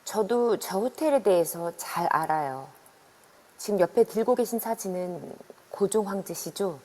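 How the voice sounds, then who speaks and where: A woman's voice: mid-range voice, lively intonation, a little slow, dark tone, lightly acted. A woman voicing a worried middle-aged woman who earnestly warns visitors away, in an amateur audio drama. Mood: grave. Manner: earnest, urgent pleading. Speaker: voice actor. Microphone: phone in an online voice room. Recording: long reverb on a voice chat app.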